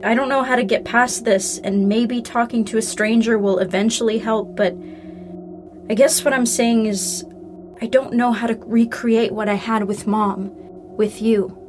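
A woman's voice: on the high side, steady tone, normal speed, noisy background, lightly acted. A woman playing a young, grieving daughter, wounded and earnest, in a naturalistic audio drama. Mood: hurt, grieving. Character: grieving daughter, wounded, angry yet willing to reconcile. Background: music.

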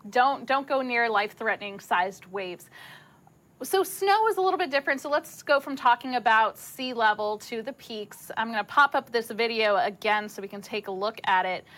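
A woman's voice: a little high, expressive, normal speed, fairly bright tone. A woman hosting a news segment, asking a guest questions in a casual, friendly way with some fillers. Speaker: news anchor. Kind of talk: interviewing a guest.

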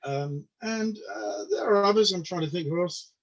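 A man in his sixties whose first language was Polish, a comedian chatting as a guest in a hesitant way, repeating words and filling pauses. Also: sits high, gravelly. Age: 60s. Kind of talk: casual interview talk. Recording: dull lo-fi sound.

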